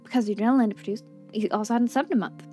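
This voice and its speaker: Excitable pulp spy-story narrator, played by a female gamer, higher register, dramatic read, music underneath. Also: racing, close to an everyday voice. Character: excitable announcer of a pulpy spy book club. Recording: game music behind the voice.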